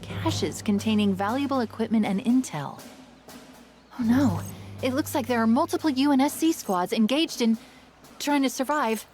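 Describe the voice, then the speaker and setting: A woman's voice: on the high side, animated, normal speed, darkish tone, faint music behind, lightly acted. A woman voicing a helpful, curious military AI companion in a video game, chatty, wry and eager. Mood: concerned, focused.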